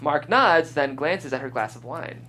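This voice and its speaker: A woman's voice: deep voice, animated, unhurried, a bit bright, slight background noise. A woman hosting a podcast and reading scripted stage directions as narrator. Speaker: host and stage-direction reader.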